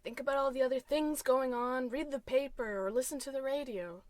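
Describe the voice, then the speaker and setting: A woman playing a patient, hopeful but gently exasperated housewife in old-time radio comedy style, earnest and reproachful. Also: high-pitched, a little fast, dry recording, close to an everyday voice. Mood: gentle, soothing.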